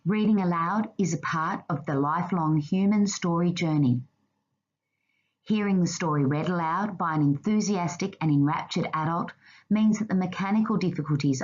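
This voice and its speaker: A woman narrating a scripted slide presentation in a formal, measured reading manner. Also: on the low side, darkish tone, muffled recording.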